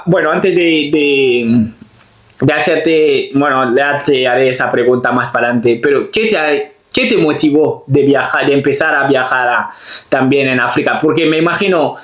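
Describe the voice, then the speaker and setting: A podcast host from Guinea interviewing a guest, speaking hesitantly with frequent repeated words. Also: medium pitch. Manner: hesitant, repeats words, many thanks and acknowledgments. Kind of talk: casual interview hosting. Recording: echoey room.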